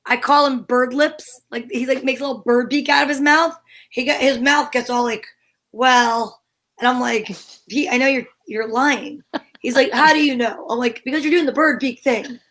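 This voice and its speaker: A woman co-hosts a show in a distinctly high voice. She chats casually, telling things in an informal, conversational way. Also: fairly even intonation, moderate pace, fairly bright tone. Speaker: co-host. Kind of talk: casual chat.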